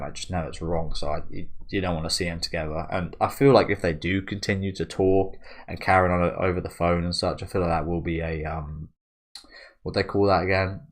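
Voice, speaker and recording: A man hosting a manga reaction video. He thinks aloud in a rambling, casual way, with many restarts and tag questions. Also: deep voice. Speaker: manga reaction channel host.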